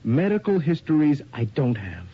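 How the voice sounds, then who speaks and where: A defensive male photographer in an old radio drama. His voice is rough-edged and very mobile in pitch, with terse, guarded phrasing. The sound is slightly muffled. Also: big pitch swings, normal speed. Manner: clipped, guarded answers. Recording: dull 1980s radio drama recording.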